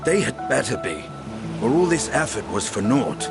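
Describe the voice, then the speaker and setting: An actor playing a grim, vengeful dragoon in a video game, speaking in a brooding, measured way. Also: male voice, medium pitch, very animated, vocal fry. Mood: grim impatience. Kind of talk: video game cutscene acting. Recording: loud music behind the voice.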